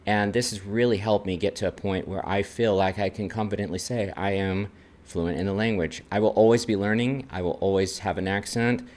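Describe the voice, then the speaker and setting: A man's voice: lowish voice, steady tone, fairly quick, darkish tone. A man from Texas with an American accent, talking about his own life as a vlogger. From Texas, United States. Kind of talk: personal talk to camera, numbered list.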